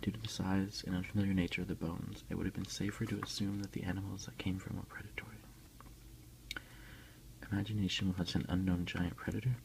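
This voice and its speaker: A man speaking in a soft, unhurried, hushed whisper close to the microphone, as an ASMR creator reading aloud and commenting for an unboxing. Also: deep voice, level tone, vocal fry, background noise.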